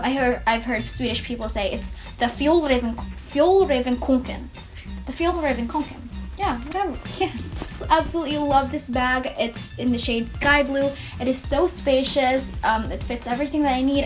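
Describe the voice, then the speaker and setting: A woman's voice: high-pitched, moderate pace, music in the background. A young woman from the Philippines chatting casually to camera, bubbly and gushing, with many fillers. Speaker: beauty vlogger.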